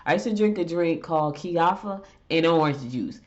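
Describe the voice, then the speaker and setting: A woman's voice: fairly low pitch, animated, normal speed. A middle-aged woman with a stuffed-up head cold, hosting a morning show in a chatty, emphatic monologue. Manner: chatty, emphatic, exasperated rants. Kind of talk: casual talk show monologue. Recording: dull lo-fi audio.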